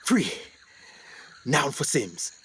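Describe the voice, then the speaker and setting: A man acting as a haunted explorer doctor in a radio play, speaking intensely and theatrically with suspenseful pauses. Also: mid-range voice, sing-song, fairly bright tone, a little hiss or hum, heavily acted. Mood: grim, anguished. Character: haunted 1920s male explorer doctor recounting jungle horrors.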